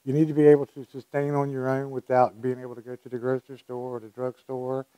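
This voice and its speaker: A man's voice: mid-range voice, little pitch movement, fast talker, dark tone, smooth, low-quality recording. A man in his sixties, an official answering interview questions into a lapel mic. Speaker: county emergency services director. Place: indoor meeting room. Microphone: lapel microphone.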